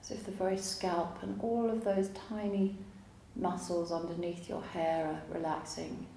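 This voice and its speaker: A woman teaching yoga nidra, guiding a relaxation meditation in a calm, soothing, unhurried way with long pauses. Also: on the low side, gravelly. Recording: roomy echo, faint background noise.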